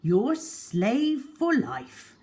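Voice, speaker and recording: A woman reading as older storybook gentleman, earnest, coaxing, emphatic, animated pitch, unhurried. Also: heavily acted. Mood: besotted. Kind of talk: children's storybook character voice.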